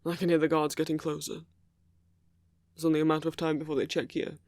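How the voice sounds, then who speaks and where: An actor playing a brooding, protective male villain in love with his enemy, in an intimate audio roleplay with a breathy voice that often drops toward a whisper. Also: a little high, completely flat delivery, quick pace, a little mellow, dry recording, close to an everyday voice. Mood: earnest, resigned. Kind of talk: ASMR roleplay audio drama, intimate monologue.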